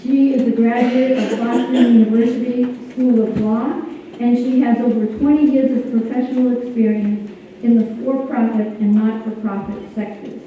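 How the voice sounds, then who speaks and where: A woman reading a formal scripted introduction of a guest speaker at a podium, somewhat halting and stumbling over words. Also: fairly high pitch, narrow, tinny audio. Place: large echoey hall at a college ceremony. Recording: very echoey hall, distant, thin, faint noise.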